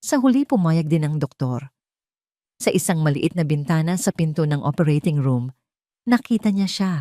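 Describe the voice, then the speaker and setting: A woman with a low voice narrates a dramatic love story. Her phrasing is deliberate and solemn, broken by dramatic pauses. The sound is very dry, with no room around it. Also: a little mellow, no echo.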